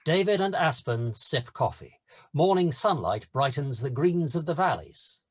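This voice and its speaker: A man's voice: a little high, lively intonation, measured pace, slightly rough. A man narrating scripted scene directions at a screenplay table read.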